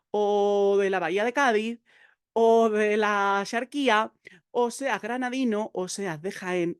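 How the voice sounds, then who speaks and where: Woman, copywriting teacher, mid pitch, dry close-miked sound, chatty and direct. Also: dry recording. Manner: lively, addresses viewer directly, many fillers. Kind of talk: explanatory video lesson.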